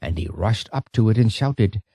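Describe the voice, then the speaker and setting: A man narrating an audiobook in a dramatic, expressive storytelling style. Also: on the low side, moderate pace, husky, dry recording, studio quality.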